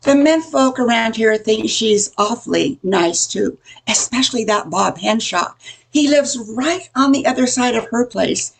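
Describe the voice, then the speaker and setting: A woman's voice: fairly high pitch, expressive, a little slow, creaky, exaggerated voice, low-quality recording. An older woman playing a nosy, gossipy welcome-wagon neighbor in a radio comedy, chatty and full of insinuation. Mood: sly gossip. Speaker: amateur radio play performer. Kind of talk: old-time radio comedy acting.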